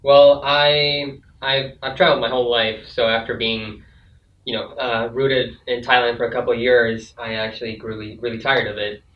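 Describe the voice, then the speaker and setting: A young man, a student, talking in a relaxed, wry way with many fillers. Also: medium pitch, moderate pace. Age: young adult. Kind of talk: casual panel chat. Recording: echoey, distant, dull-sounding.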